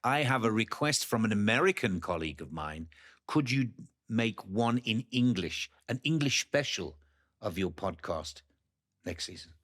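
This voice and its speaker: A man's voice: on the low side, lively intonation, normal speed, a bit bright. A man hosting an interview, asking long questions and giving friendly, encouraging replies. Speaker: interviewer host. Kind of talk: podcast interview.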